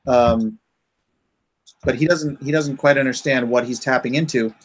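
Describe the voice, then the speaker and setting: A man in a casual unscripted chat, explaining his creative project in a halting way as he searches for words. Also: mid-range voice, fairly even intonation.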